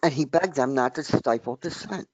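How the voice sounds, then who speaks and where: A man in his sixties from the area near Washington D.C., speaking in a halting, emphatic way with many restarts during a casual group discussion. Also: mid-range voice, noisy background. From United States, near Washington D.C. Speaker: open mic speaker. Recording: dry, dull lo-fi recording.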